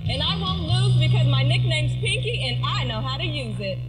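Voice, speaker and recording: A woman's voice: sits high, lively intonation, moderate pace, low-quality recording. A woman in her twenties boasting in a brash self-introduction as a reality show contestant, played from a TV clip. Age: 20s. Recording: TV clip played over loud music.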